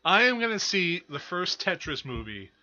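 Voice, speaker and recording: A man's voice: high-pitched, very animated, moderate pace, bright, forceful tone, lo-fi sound. A man co-hosting a podcast in casual chat, arguing his opinions with a wry manner. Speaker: podcast co-host.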